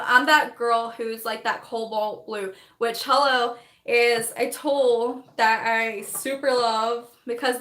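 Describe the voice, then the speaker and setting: A young woman, chatting on a phone livestream while doing her makeup, rambling fast, laughing often and losing her voice. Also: fairly high pitch, a bit bright, reverberant. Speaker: livestreaming vlogger.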